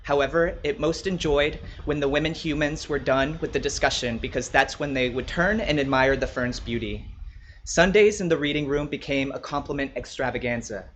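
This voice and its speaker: A man's voice: medium pitch, normal speed, fairly bright tone, slight background noise. A man reading his own short story aloud as a scripted narration.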